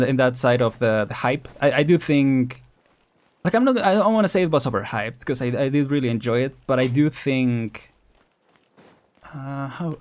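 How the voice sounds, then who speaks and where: Hesitant, rambling male streamer over music. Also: mid-range voice. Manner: hesitant, rambling, often repeats words and restarts. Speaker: streamer, vtuber host. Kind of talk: casual livestream commentary, chatting with viewers.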